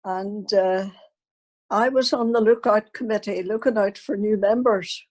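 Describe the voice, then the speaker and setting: A woman's voice: medium pitch, a little slow, darkish tone. An elderly woman, a grandmother, telling personal family stories unscripted and acting out remembered conversations. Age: older, elderly grandmother. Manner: anecdotal, acts out remembered dialogue.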